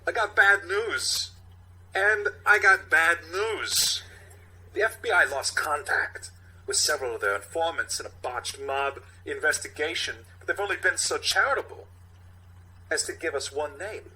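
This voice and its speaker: A man voicing a theatrical police captain in a mock cop show, with a halting, oddly stressed delivery. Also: a little high, pushed and bright, breathy edge, voice pushed up, exaggerated voice, poor audio. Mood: grave, halting. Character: police captain, male, theatrical, halting, folksy leader, movie-star impression.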